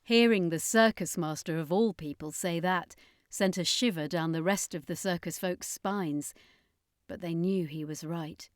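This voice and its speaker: A woman's voice: fairly low pitch, unhurried, dry recording, clean studio sound. A woman reading an adventure story as narrator, in a dramatic scripted storytelling style.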